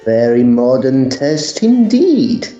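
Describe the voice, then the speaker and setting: A man playing a measured Edwardian occult detective, dry and understated, in a tongue-in-cheek audio drama. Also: medium pitch, sing-song, dark tone, roomy sound, lo-fi sound, faint music behind. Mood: dry, curious.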